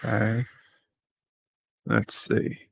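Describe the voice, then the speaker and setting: Male live-stream host, casual and halting, gravelly voice, close dry sound. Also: fairly low pitch, monotone, no echo. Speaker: streamer hosting a live session.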